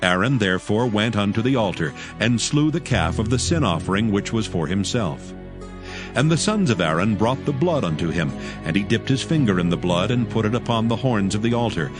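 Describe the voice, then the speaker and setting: Man narrating scripture, low voice, solemn and steady, music bed behind. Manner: steady, solemn, measured reading.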